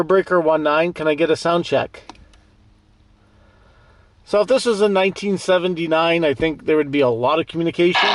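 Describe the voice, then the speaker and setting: A man hosting a casual off-road vehicle vlog, talking through gear in a plainspoken, conversational way. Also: a little high. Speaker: host of an off-road vehicle channel. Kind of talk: casual product demo vlog.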